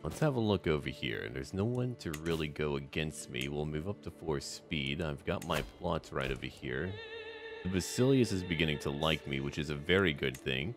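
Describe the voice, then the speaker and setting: A low-pitched man commentates on a strategy game as if living the part. His intonation swings widely as he muses aloud in a scheming, theatrical way. Music plays underneath. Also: animated, moderate pace. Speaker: gamer narrating a strategy game.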